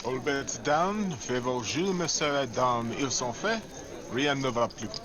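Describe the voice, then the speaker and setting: An actor playing a formal casino croupier in a radio drama, calling out in a sing-song mix of French and English. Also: male voice, medium pitch, expressive, measured pace, heavy background noise, lightly acted. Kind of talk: 1940s radio drama acting.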